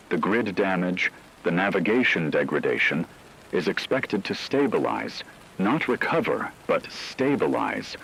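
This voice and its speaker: A man playing a grave, blunt expert who gives a scripted disaster briefing on radio in a measured, precise and sober way, with noise behind him. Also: deep voice, creaky, a bit bright, heavily acted, low-quality recording.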